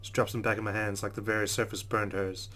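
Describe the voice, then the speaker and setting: A man hosting a let's play, reading visual novel narration and inner thoughts aloud in a dramatic, expressive way. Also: fairly low pitch, fast talker, a little hiss or hum. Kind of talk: expressive read-aloud game narration with reactions.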